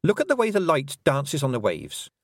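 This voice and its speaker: A man's voice: mid-range voice, huge pitch swings, moderate pace, no room sound, studio quality, voice pushed up. A man voicing a determined young girl witch who speaks earnestly.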